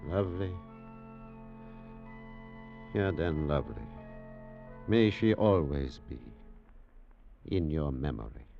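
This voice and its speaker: An actor playing an anxious, courteous but evasive doctor in an old radio drama, speaking hesitantly and repeating words. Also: male voice, very deep voice, lively intonation, slow pace, gravelly, long pauses, over loud music, exaggerated voice. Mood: subdued, grave. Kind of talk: 1940s-50s radio drama acting. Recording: dull old radio broadcast recording.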